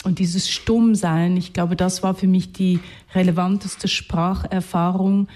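A woman with a Swiss accent and Hungarian as her first language speaks as a writer being interviewed. Her voice is soft-edged and mellow, pitched a little low. She is thoughtful, often reaching for the right word and rephrasing as she goes. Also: fairly even intonation, a little slow. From Switzerland, Zurich region. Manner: thoughtful, searching for words, self-correcting. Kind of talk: reflective spoken interview.

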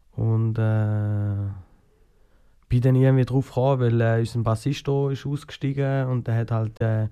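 A man's voice: medium pitch, warm tone, smooth. Hesitant Swiss German man, Schaffhausen dialect. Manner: hesitant, many fillers and restarts. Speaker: guest singer. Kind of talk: casual interview answers.

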